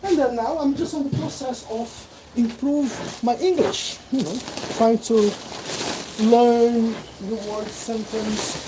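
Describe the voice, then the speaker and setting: A man's voice: very high-pitched, fairly even intonation, slow. A Brazilian man whose first language is Portuguese, speaking English with a Brazilian accent. He chats casually on a livestream, rambling and correcting himself as he goes. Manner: rambling, self-correcting, conversational. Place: at work, sorting bags. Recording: loud background noise.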